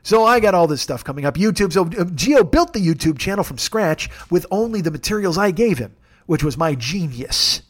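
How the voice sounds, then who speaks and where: A man in his forties hosting a podcast alone, talking in a rambling, jokey, self-deprecating way with a gravelly voice. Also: high-pitched, expressive, a little fast. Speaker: podcast host. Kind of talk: casual solo monologue.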